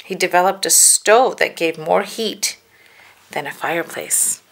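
A woman reading a children's storybook aloud, with careful, deliberate phrasing for young listeners. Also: lowish voice, a little hiss or hum. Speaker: children's book reader. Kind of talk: read-aloud storybook narration.

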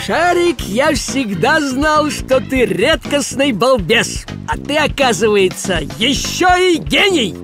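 A man voicing a grumpy, thrifty, sarcastic male farm cat in an animated cartoon, with a theatrical, grumbling manner. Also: very high-pitched, takes it slowly, music in the background, full character voice.

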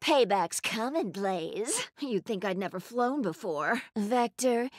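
Casting: a cocky, coquettish female bat thief in a racing game; very animated intonation, unhurried sassy teasing. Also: a little high, slight background noise. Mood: smug, playful. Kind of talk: video game character voice lines.

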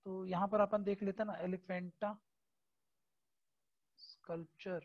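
A male teacher talks through an online lesson in a patient, explanatory way, pausing on fillers and repeatedly asking whether the point is clear. The recording sounds somewhat dull and lo-fi. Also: high-pitched, little pitch movement. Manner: keeps checking understanding with tag questions; frequent hesitation fillers, explanatory and repetitive. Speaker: exam-prep teacher. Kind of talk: online lecture over a screen recording.

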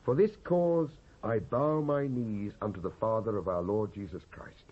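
A British man giving a solemn, declamatory dramatised scripture reading in measured phrases with pauses. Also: fairly low pitch. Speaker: Bible reader, actor. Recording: dull lo-fi cassette transfer.

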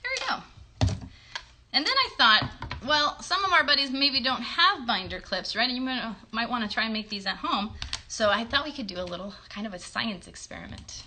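A woman in her thirties with a high, bright voice shows children a craft in a casual, encouraging way, often asking them questions. She is recorded on a phone in a bedroom, with faint background noise. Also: lively intonation, moderate pace. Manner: encouraging, questioning, talking to young viewers. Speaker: children's puppet show host. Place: bedroom at home. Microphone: phone at about arm's length.

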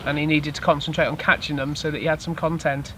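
A man's voice: fairly high pitch, little pitch movement, fast, pushed and bright, slight background noise. A man in his thirties, an angler chatting and teasing his friend outdoors by a lake. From England. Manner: teasing, laughing. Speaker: angler and referee. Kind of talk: casual banter on camera.

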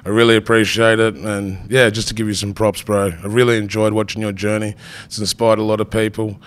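A man in his thirties with an Australian accent, a rapper guest telling stories in relaxed, casual podcast talk. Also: on the low side, fairly quick, clean tone. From Tasmania. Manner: laid-back storytelling, peppered with "bro".

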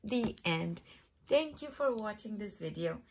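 Woman storyteller, very husky, gravelly edge, expressive picture-book read-aloud. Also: mid-range voice, unhurried. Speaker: host reading picture books aloud.

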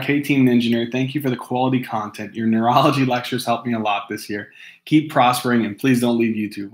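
Thirties male host from Hawaii, lowish voice, casual and easily distracted, laughs a lot, reads fan letters into a webcam at home. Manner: relaxed, laughs often, easily distracted, frequent restarts. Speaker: host reading fan mail. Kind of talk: casual chat to camera. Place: room at home. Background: dogs barking at times.

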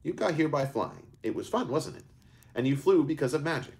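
A man voicing a kind, ancient woman shopkeeper who speaks gently and patiently. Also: a little high, animated, a little fast, creaky, voice pushed up. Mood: gentle.

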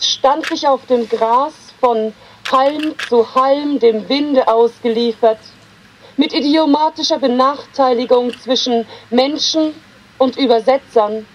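A woman with a high voice reads poetry aloud at a slow pace. Her phrasing is measured and deliberate, as in a literary reading, with space left between the short lines. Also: lo-fi sound, slight background noise. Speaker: poetry reader.

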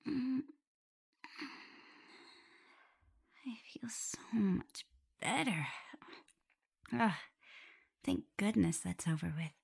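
A woman playing a caring, flustered young omega werewolf in an intimate ASMR roleplay, soft and often breathy and whispery, fussing as she talks. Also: mid-range voice, heavily acted. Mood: sleepy, surprised. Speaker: audio roleplay performer.

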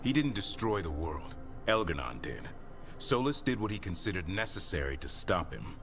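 An actor playing a grave, firm companion in a fantasy video game, emphatic in delivery. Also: male voice, deep voice, sing-song, normal speed, fairly bright tone, faint music behind, full character voice.

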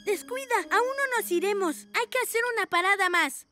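A woman voicing a confident young boy superhero in a cartoon dub, calm and reassuring. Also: normal speed, music in the background. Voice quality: high, childlike.

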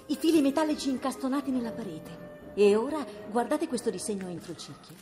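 An actress voicing a wounded, defiant medieval noblewoman in a radio drama, sharp-tongued and worn from her injuries. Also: a little high, lively intonation, fairly quick, music in the background, lightly acted. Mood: urgent. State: feverish, aching from wounds.

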